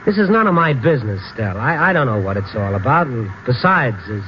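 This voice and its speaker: A man's voice: medium pitch, sing-song, fairly quick, a little hiss or hum, lightly acted. A man acting a world-weary, easygoing investigator who narrates his own tale in old-time radio drama, wry and colloquial. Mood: calming. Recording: muffled vintage radio recording.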